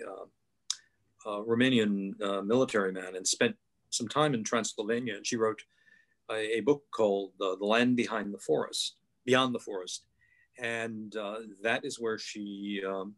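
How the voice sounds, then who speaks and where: Older male panelist, lowish voice, patient explainer, halting with fillers, headset mic on a call. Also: fairly bright tone. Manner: thoughtful, explanatory, many filler pauses. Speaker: guest author. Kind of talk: online panel discussion. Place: home room, video call.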